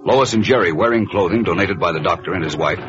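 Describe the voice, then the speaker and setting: A man's voice: deep voice, fast. An American man narrating a 1940s radio serial in a dramatic, suspenseful, declamatory style. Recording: muffled old radio broadcast recording. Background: loud music.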